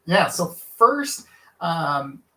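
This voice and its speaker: A man from Kansas, a lawyer and author, answering interview questions in an earnest, halting way full of fillers and restarts. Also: a little high, big pitch swings, sharp tone, roomy sound.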